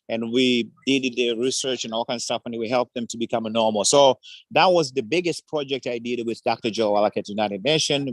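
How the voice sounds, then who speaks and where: A man's voice: medium pitch, no echo. Tanzanian-accented man, effusive and dry-sounding. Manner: effusive, warm praise, broken English phrasing. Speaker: guest speaker. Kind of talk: enthusiastic community forum remarks.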